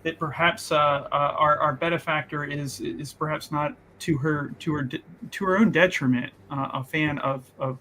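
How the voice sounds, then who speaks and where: A man in casual tabletop roleplay banter, talking haltingly and repeating words as he builds his point. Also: a little high, steady tone, bright, forceful tone, a little hiss or hum.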